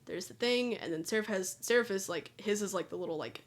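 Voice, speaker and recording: A woman's voice: mid-range voice, brisk. Young woman yapping while she draws, kinda nasal, rambly, keeps restarting. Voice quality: somewhat nasal. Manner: rambling, chatty, frequent restarts and asides. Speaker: channel host. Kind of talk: casual chat while drawing.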